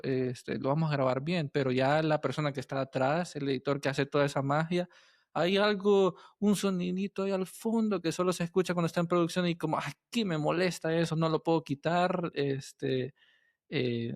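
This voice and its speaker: A man with a Latin American Spanish accent that uses vos, hosting a casual interview and thinking aloud in a halting way. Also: fairly high pitch, no echo, studio quality. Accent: Latin American Spanish with voseo. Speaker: podcast host and interviewer.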